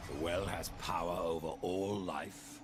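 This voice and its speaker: A man voicing a grim, weary horseman of the apocalypse in a dark fantasy game, with a terse, grave and measured delivery. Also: steady tone, music in the background. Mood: probing. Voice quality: gravelly, very deep.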